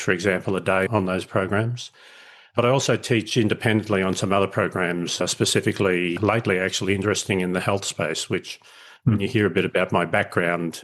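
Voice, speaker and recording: A man's voice: low-pitched, a bit bright. A middle-aged Australian man with an Australian accent, speaking as a guest over a call and explaining his work at length in a reflective, easygoing way. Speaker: guest, leadership educator. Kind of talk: casual interview over a call.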